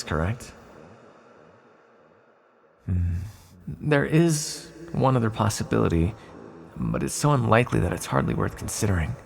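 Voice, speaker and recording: A man playing an ancient sealed villain, a calm and tender magical being who speaks in a measured, formal, reassuring way in an ASMR roleplay. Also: lowish voice, creaky. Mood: grave, puzzled, then thoughtful, reassuring. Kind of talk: ASMR roleplay, scripted monologue to the listener.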